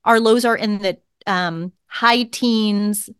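A woman's voice: mid-range voice, slow, a bit bright, smooth, no room sound, studio quality. A woman co-hosting a podcast in casual chat from her home office, wry and self-mocking, laughing often. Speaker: podcast co-host.

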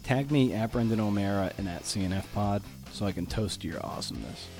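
A man with a slightly low voice hosts a podcast. He talks casually and earnestly, with music clearly audible in the recording. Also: fast talker, a little mellow. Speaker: podcast host, interviewer.

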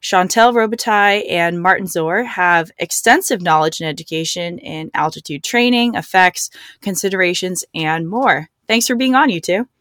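A woman's voice: lowish voice, moderate pace, fairly bright tone, no room sound, studio quality. A woman hosting a podcast, giving a prepared introduction and putting questions to guests. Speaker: podcast host.

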